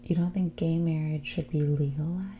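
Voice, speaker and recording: A woman playing a mean, snobbish rich young woman on a date in an ASMR roleplay, mixing breathy whispers with soft speech in a condescending, catty drawl. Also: low voice, fairly even intonation, normal speed, slight background noise, close to an everyday voice. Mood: judgmental, dismissive.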